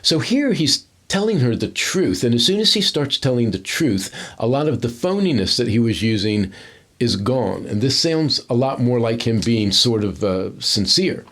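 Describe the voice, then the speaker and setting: Explanatory man with a mid-pitched voice. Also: big pitch swings, normal speed, fairly bright tone. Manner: explanatory, conversational. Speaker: film acting commentator. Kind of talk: analytical scene commentary.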